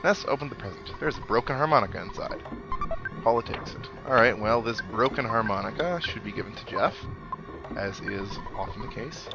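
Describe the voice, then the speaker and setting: A man's voice: lowish voice, animated, fairly quick, gravelly, lo-fi sound. A man giving casual, rambling let's play commentary, thinking aloud as he plays. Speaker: gaming host. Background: video game music.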